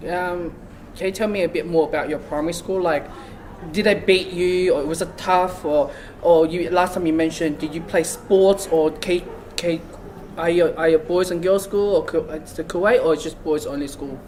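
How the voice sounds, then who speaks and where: A man's voice: a little high, fairly even intonation, moderate pace, darkish tone, a little hiss or hum. A young man hosting a casual talk show on a couch, asking questions with lots of "like" and "you know", recorded indoors on a camera mic a few feet away. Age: young adult. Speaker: host. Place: small room indoors, seated on a couch.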